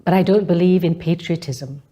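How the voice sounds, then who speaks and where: A woman's voice: on the low side, normal speed, mellow. A woman from Malaysia with a Malaysian English accent, giving an earnest, impassioned public speech full of rhetorical repetition. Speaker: speaker.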